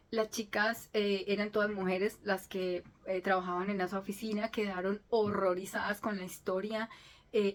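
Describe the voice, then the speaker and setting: Earnest woman telling a personal story. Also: medium pitch. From Colombia. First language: Spanish. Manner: earnest, emotional, with many restarts. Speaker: vlogger telling her story.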